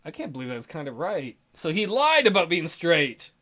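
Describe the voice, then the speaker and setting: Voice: medium pitch, very animated, normal speed, fairly bright tone. A gamer giving casual let's play commentary and reading game text aloud. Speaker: gaming video host. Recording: music behind.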